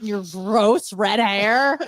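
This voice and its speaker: Young female co-host, mid-pitched, dry studio sound, animated gossipy storyteller with a teasing edge. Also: very slow, no echo. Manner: animated, blunt, teasing, acts out dialogue. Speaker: radio show co-host. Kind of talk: casual chat, gossipy storytelling.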